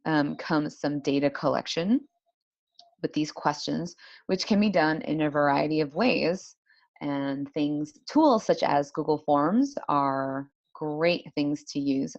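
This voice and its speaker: A woman's voice: sits low, dry recording, dull audio. A woman giving an explanatory academic presentation as a language teacher, posing rhetorical questions as she goes. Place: indoor room, online symposium talk.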